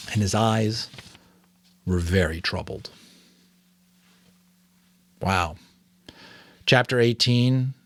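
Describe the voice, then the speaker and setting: A man narrating a novel as a dramatic, expressive audiobook reading. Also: lowish voice, no room sound. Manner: expressive, theatrical.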